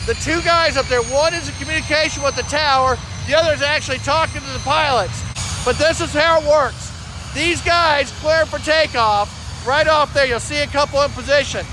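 High-pitched American man over outdoor noise. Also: moderate pace. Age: middle-aged. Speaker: air traffic controller giving a tour as host. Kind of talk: informal explanatory vlog talk. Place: outdoors at an airfield and open grounds. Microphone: phone at arm's length. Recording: noisy outdoor airfield with vehicle sounds.

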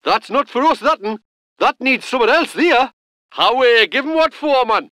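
A gruff, colloquial gunner. The voice sits high and swings widely, with a bright edge. It is recorded dry and close in a studio. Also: male voice, lively intonation, measured pace, no room sound, heavily acted. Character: gruff northern British male gun crewman. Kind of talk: video game unit voice lines.

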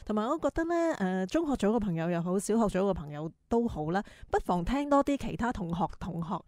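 A woman's voice: medium pitch, very animated, a little fast, darkish tone, dry recording. Female radio host, Hong Kong Cantonese accent, chatty delivery, dry close recording.

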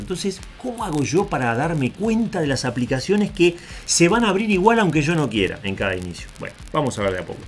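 Rioplatense male tech host, middle voice, casual and rambling, faint music bed. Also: medium pitch. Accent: Rioplatense Spanish. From Argentina, raised in Uruguay. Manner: chatty, digressive, checks in with "¿tá?". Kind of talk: casual screen-share tutorial.

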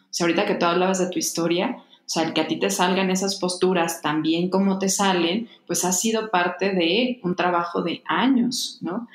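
A woman podcast host speaks in a slightly low voice, reflective and conversational, unfolding her thoughts in long flowing explanations. The sound is distinctly roomy, recorded indoors at home. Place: small home room, indoors. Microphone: large desktop microphone up close, headphones.

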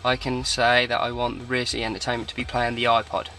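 A man's voice: medium pitch, flat delivery, fast, bright, forceful tone, low-quality recording. A man giving an informal, matter-of-fact product walkthrough as an installer, talking off the cuff. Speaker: car audio installer presenting a demo. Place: in and around a parked car.

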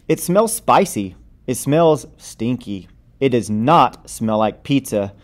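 A man's voice: medium pitch, takes it slowly. Man reading a picture book aloud expressively. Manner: expressive, playful, acting out the story. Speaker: read-aloud storyteller.

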